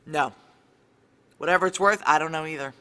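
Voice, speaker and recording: A woman's voice: sits low, normal speed, sharp tone, clean tone. An actress playing a tough, sardonic, profane female military security chief in a noir audio drama, blunt and wry. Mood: firm, commanding. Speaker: lead actor and narrator.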